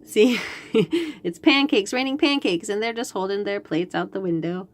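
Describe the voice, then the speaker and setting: A woman reading a picture book aloud to young children in an expressive way, with chatty asides and questions about the pictures. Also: medium pitch. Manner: expressive, pauses to point out pictures and ask questions. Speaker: children's read-aloud host.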